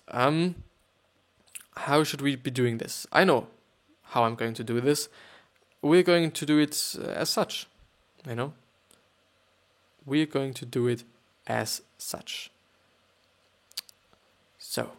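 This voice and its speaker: A podcast host from Austria speaks English with a German accent. His voice has a gravelly, creaky edge. He rambles and hesitates, repeating words and filling pauses as he chats. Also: medium pitch. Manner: hesitant, repetitive, many fillers. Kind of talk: rambling casual monologue and reading aloud.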